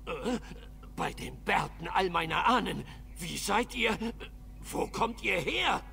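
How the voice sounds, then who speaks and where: A high, creaky-voiced dwarf shopkeeper in a fantasy game. He is agitated and indignant, stammering through whiny complaints, and his pitch jumps about. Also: lively intonation, slow, pushed and bright, a little hiss or hum, exaggerated voice. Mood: shocked, worried. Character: flustered, indignant dwarf shopkeeper, middle-aged man. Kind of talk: video game voice acting.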